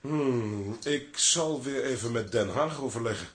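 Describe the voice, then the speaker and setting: An actor playing a secretive official in a radio drama, measured, pompous and conspiratorial. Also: male voice, mid-range voice, natural voice. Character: secretive, deliberating official speaking in code.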